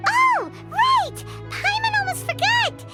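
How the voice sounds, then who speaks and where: A woman voicing a small, excitable, childlike fairy companion in a video game, exclaiming and talking about herself by name. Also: very high voice, slow, over loud music, exaggerated voice. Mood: excited. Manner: exclamatory, refers to self in third person.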